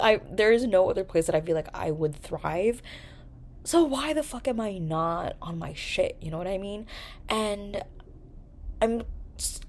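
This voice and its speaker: A young woman from New York hosting a casual, chatty vlog, swearing and joking as she talks. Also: medium pitch. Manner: chatty, animated, swears and jokes. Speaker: vlogger, podcast host. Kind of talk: casual chat to camera.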